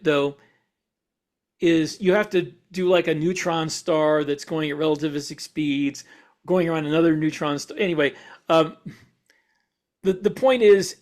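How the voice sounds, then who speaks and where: A man co-hosting a podcast, explaining speculative ideas in a casual way and piecing his thoughts together as he goes. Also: on the high side. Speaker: podcast co-host.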